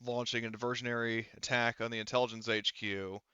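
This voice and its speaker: A man speaks for a female resistance leader in a tabletop game. The delivery is measured and matter-of-fact, with halting pauses. The tone is smooth and clear, recorded dry and slightly dull. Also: medium pitch, little pitch movement, sharp tone, no room sound, lightly acted. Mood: measured, planning. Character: female resistance leader calmly laying out battle plans.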